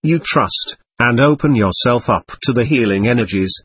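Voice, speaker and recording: Man voicing a 65-year-old woman healer, grandmother, calm, earnest, husky tone, medium pitch. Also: normal speed, no echo. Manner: calm, earnest first-person telling.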